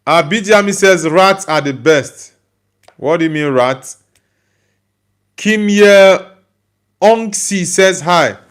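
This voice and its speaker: A man's voice: high-pitched, slow pace, long pauses, smooth. A young man with a Nigerian accent hosting a live football stream, chatting loosely and reading out viewer comments with many fillers and restarts. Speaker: football channel host reading live chat. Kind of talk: casual live-stream chat.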